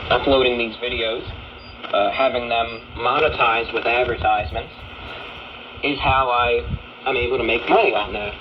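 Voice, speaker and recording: A man's voice: mid-range voice, muffled recording. Man hosting a radio show over static. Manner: earnest, steady delivery with frequent "uh" fillers, rising to emphatic stresses. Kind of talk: radio talk show monologue. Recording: broadcast heard through a shortwave receiver with static.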